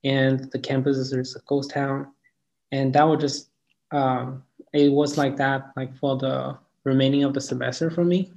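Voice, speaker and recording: A young man of about twenty, answering interview questions in a halting way, with lots of "like" and "um". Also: medium pitch, level tone, vocal fry, darkish tone. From Cameroon. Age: around 20. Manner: halting, hedging with "like" and "um". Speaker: student interviewee. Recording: dull lo-fi recording.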